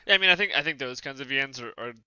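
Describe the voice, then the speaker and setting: A man's voice: medium pitch, animated, racing, bright, forceful tone, clear, resonant tone, no room sound, lo-fi sound. A man on a podcast panel talking casually in a discursive, hedging way, close to the mic.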